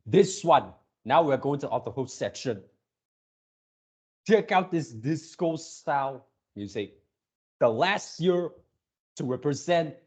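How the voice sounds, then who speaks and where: A man hosting a reaction video with casual, off-the-cuff commentary, speaking haltingly and repeating his words and phrases. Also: medium pitch, a little mellow.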